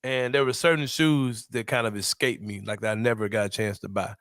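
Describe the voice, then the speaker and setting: A man in his forties from Memphis, hosting a sports podcast in a relaxed, slangy, laughing way. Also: on the low side, a little fast, no echo, clean studio sound. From Memphis, USA. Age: 40s. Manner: laid-back, slangy, laughs often. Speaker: podcast host. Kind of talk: casual sports podcast chat.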